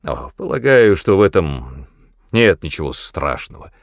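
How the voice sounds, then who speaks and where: A man voicing a vain, explosive male demon mentor in an audiobook, with a gruff, barking, indignant manner. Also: sits low, very animated, a little slow, no room sound, clean studio sound, exaggerated voice. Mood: grudging.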